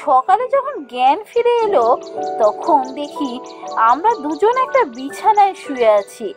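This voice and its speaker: High-voiced woman narrating a ghost story. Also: very animated, over music. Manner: dramatic, suspenseful pauses. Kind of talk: scripted first-person ghost story narration.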